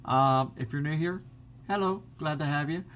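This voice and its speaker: A man's voice: fairly high pitch, moderate pace, fairly bright tone, slight background noise. A middle-aged man, chatting casually to his camera at home, rambling through fillers and restarts. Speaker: vlogger. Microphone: built-in laptop camera mic.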